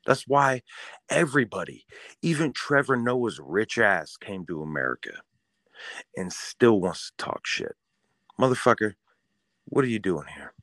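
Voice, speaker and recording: A man with a very deep voice who swings widely up and down as he rants. He talks in a fired-up, swearing style on a casual podcast. The sound is dry and close to the mic. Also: very animated, measured pace, pauses often, fairly bright tone, dry recording. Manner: fired-up, ranting, profane. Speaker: podcast co-host.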